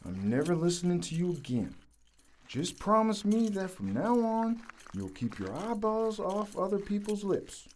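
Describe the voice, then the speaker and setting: A woman's voice: mid-range voice, big pitch swings, a little slow, darkish tone. A woman playing an antsy, scolding small-town husband in a comic audio drama, fussy and folksy with a Southern US accent.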